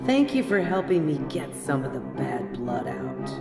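A deep, swooping female vampire, bloodthirsty and gleefully mocking. She savours her lines theatrically, as in a horror audio drama, with music behind her. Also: very animated, a little slow, creaky, full character voice. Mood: mock gratitude. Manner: sardonic, playful, theatrical. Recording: long hollow reverb under music.

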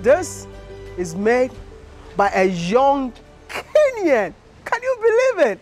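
A young man from Ghana, a vlogger hosting an interview, talking in an excitable, playful way with a Ghanaian English accent, on a lapel mic. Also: very high voice, slow pace, faint music behind. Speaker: vlogger host. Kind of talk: energetic travel vlog interview. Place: industrial yard and factory, indoors and out.

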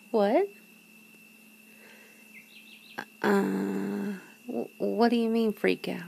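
A woman playing a nervous, teasing, disbelieving girlfriend in an intimate ASMR roleplay, talking haltingly and often dropping toward a whisper. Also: medium pitch, gravelly, a little mellow, slight background noise. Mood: playful, puzzled. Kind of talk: ASMR roleplay, one side of an intimate conversation.

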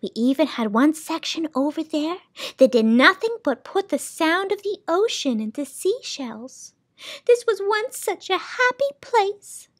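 A woman's voice: high-pitched, sing-song, normal speed, a bit bright, pitched above the performer's own voice. A woman reading a storybook as a fussy, imperious, wistful female keeper of sounds, with dramatic, bossy emphasis. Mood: sad, wistful.

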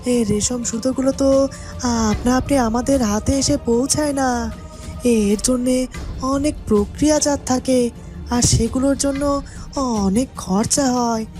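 Woman playing a high-pitched, deferential royal minister. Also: measured pace, warm tone, soft background music. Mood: deferential, explaining. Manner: hesitant, explanatory. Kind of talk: cartoon fairytale acting.

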